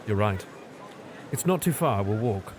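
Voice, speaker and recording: A man's voice: lowish voice, lively intonation, a little slow. A man playing a cerebral, reserved Victorian detective in an audio drama, formal and cool. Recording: outdoor ambience noise behind.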